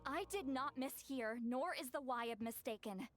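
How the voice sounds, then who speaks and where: An actress voicing a proud, fussy old shaman woman in a game, in a measured, slightly dramatic way, with game music behind. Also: sits high, lightly acted. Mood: solemn.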